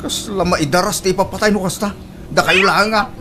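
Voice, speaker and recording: A woman's voice: mid-range voice, steady tone, a little fast, heavy background noise, natural voice. A woman playing a reluctant, grumbling sibling in a radio drama, with a Filipino accent. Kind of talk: Ilocano radio drama acting.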